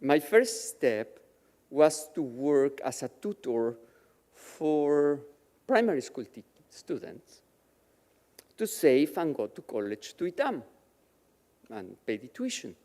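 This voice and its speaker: A man from Mexico, raised with Yiddish as his first language, tells his life story in a slow, measured way. His voice is mellow and moves expressively up and down. The sound carries a long reverb from the chapel. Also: a little high, sing-song. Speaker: guest speaker at a Shabbat service. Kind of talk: personal testimony speech. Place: synagogue chapel.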